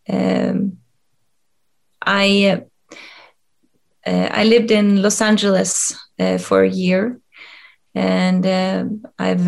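Guest: middle-aged Swedish-accented woman, slow and gravelly, hesitant answers. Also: medium pitch. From Sweden. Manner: hesitant, many ums and restarts. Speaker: guest, coach and HR expert. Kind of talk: interview answers.

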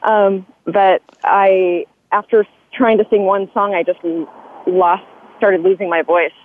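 A woman's voice: mid-range voice, steady tone, unhurried, no room sound. A woman calling in to a talk show, eager and bubbly, with many ums as she asks her question. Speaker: caller. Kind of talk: phone-in question. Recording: tinny phone line.

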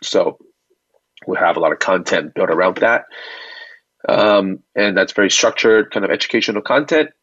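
Dull-sounding recording, low-voiced reassuring man. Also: normal speed, fairly bright tone, slightly rough, dry recording. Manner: informal, reassuring, often checks with "right?". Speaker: company founder and CEO, interview guest. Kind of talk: conversational interview answers. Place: remote call. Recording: dull, dry remote call audio.

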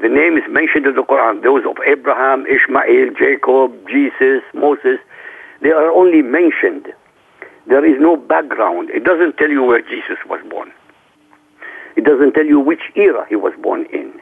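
A man's voice: mid-range voice, vocal fry, slightly rough. A middle-aged man from Iraq, a native Arabic speaker, speaking emphatically in a lecturing way as a radio talk show guest. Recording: tinny phone line.

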